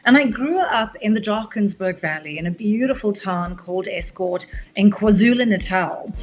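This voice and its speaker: A middle-aged woman, a media executive speaking as a podcast guest, giving a long, measured, articulate account of her background. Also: mid-range voice, poor audio. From South Africa. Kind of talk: podcast interview, long storytelling answer.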